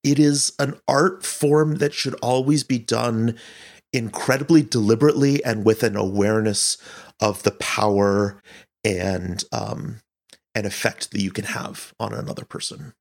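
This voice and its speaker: A man's voice: lowish voice, fairly even intonation, a little slow. A man answering interview questions as an audio director, speaking earnestly and thoughtfully, often searching for his words.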